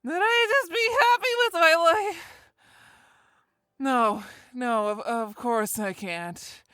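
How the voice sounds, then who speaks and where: A woman's voice: a little high, lively intonation, slow, pushed and bright, no echo, studio quality, full character voice. A woman playing a bitter, put-upon daughter in a rambling comic monologue, exasperated in manner. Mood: bitter exasperation.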